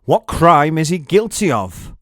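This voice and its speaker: A commanding Roman governor from a storybook, acted out by a male narrator. He speaks loudly and officially, as if proclaiming to a crowd. The sound is dry. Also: a little high, very animated, measured pace, no room sound, pitched above the performer's own voice. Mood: challenging. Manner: loud, declamatory, official. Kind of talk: storybook character acting.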